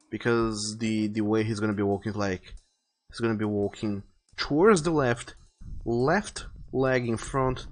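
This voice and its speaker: A man streaming casually, rambling and rephrasing as he thinks his plans aloud. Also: on the low side. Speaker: live streamer illustrating a visual novel. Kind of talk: casual livestream chat, thinking aloud while planning artwork. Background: music.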